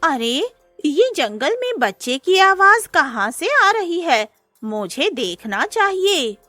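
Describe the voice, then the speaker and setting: A woman plays a brave, caring cartoon deer with a fairly high voice. The deer is earnest, worried and protective, speaking over light music. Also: big pitch swings, slow pace, sharp tone, no echo, heavily acted. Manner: earnest, concerned. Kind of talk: cartoon moral-story acting.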